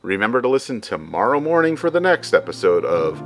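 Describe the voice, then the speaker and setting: A man's voice: medium pitch, very animated, fairly quick, over music. A man hosting a podcast, reading upbeat scripted announcements in an emphatic, chatty announcer delivery. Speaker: podcast host.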